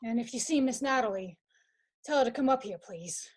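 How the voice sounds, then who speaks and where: A woman as a hesitant, higher-voiced young gentleman. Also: expressive. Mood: subdued, cooperative. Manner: hesitant, halting, urgent. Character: anxious young gentleman suitor at a murder scene. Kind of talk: radio mystery drama acting.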